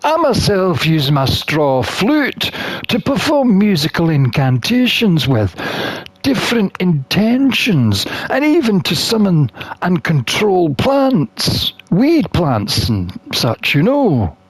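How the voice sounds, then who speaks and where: A gamer reading a visual novel aloud as expressive scripted narration, with theatrical, deliberate phrasing. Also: mid-range voice, breathy edge, dry recording, low-quality recording.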